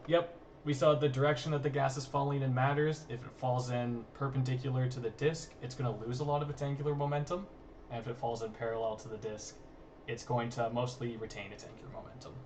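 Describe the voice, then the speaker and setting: A man's voice: medium pitch, steady tone, fast talker, darkish tone. A man informally explaining research as a guest, in a modest, matter-of-fact way. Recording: distant mic, faint background noise.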